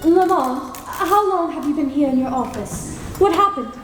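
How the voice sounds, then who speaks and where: A woman playing a poised young heroine in a live old-time radio drama, clear and earnest. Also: high-pitched, animated, moderate pace. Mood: worried. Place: large convention hall, live stage. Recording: echoey hall with long reverb.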